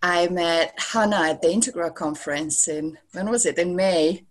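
A woman introducing herself with excitement on a video call. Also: on the low side, moderate pace. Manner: excited, upbeat. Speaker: participant in a women's circle.

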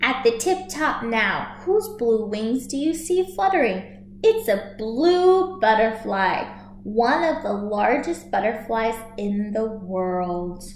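A woman in her thirties reading a picture book aloud for young children as a storytime host, expressive and clearly paced. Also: on the high side, slow, a little hiss or hum. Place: small room at home, indoors by a shuttered window. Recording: echoey home room.

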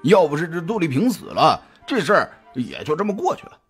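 Husky, animated, chatty detective played by a man. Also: fairly high pitch, exaggerated voice. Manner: halting, colloquial, with drawn-out hesitations. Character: jovial, chatty male private detective, easygoing friend. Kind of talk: audio drama dialogue. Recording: music behind the voice.

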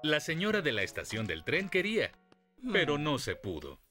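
A man with a Latin American Spanish accent, narrating a children's story in an expressive, scripted storytelling style. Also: on the high side, moderate pace. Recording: music under the voice.

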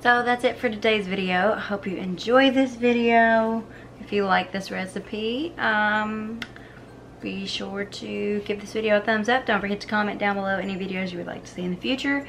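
A woman's voice: medium pitch, fairly even intonation, moderate pace, a bit bright, slight background noise. Chatty young woman cooking at home. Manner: chatty, upbeat, talks while cooking. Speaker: cooking vlogger. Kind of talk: casual cooking video. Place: home kitchen, indoors.

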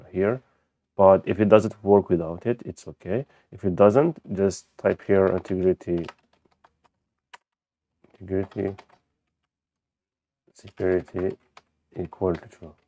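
A man who speaks as a gives an unscripted software walkthrough, haltingly thinking aloud as he demonstrates. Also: low-pitched, no echo. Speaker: software tutorial presenter. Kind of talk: unscripted screen-recorded tutorial walkthrough. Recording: dull, lo-fi close microphone.

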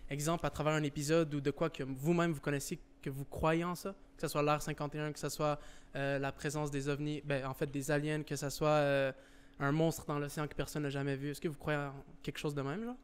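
A man's voice: fairly high pitch, little pitch movement, a little fast, smooth. A man in his twenties hosting a casual podcast chat on a couch set, speaking into a handheld mic. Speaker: podcast host.

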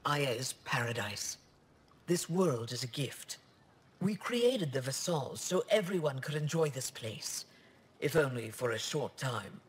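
An actor playing an earnest, dignified alien official in a video game, speaking in a measured, formal way. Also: male voice, medium pitch, expressive, natural voice. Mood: solemn, devoted.

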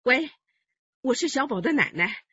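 A woman's voice: sits high, very animated, normal speed, pushed and bright, slightly rough, no room sound, full character voice. An actress playing an anxious but steely elderly grandmother in a radio drama, bossy and scolding. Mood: reproachful, worried. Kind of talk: Chinese radio drama acting. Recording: dull radio-drama recording.

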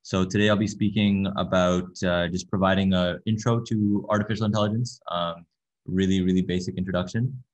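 Soft-spoken young man on a low-fi video call. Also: steady tone, a little fast. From Canada. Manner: soft-spoken, hesitant, many fillers and repeated words. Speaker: workshop presenter. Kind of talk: online workshop lecture. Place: small room with a window, indoors. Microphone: laptop webcam on a video call. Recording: dull lo-fi video call audio.

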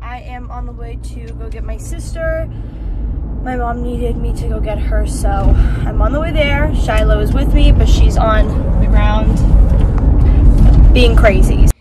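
A young woman with a raspy voice chatting in a sleepy, low-key way for her home vlog, filmed on a phone at arm's length in her bedroom. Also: measured pace, very noisy. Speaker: vlogger. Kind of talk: casual vlog chat. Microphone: phone camera held at arm's length.

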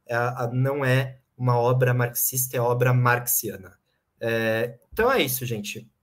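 A man in his thirties with a Brazilian Portuguese accent, giving a lively, ironic online lecture on philosophy from a home room with bookshelves, speaking to a desk webcam. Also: medium pitch, unhurried. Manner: lively, ironic, explains and jokes with the audience. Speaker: philosophy lecturer and channel host.

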